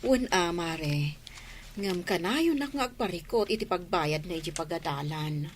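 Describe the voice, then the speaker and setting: A woman's voice: lowish voice, expressive, normal speed, slight background noise, close to an everyday voice. A woman with a Filipino accent playing a humble, struggling village mother in an Ilocano comedy radio drama. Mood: resigned.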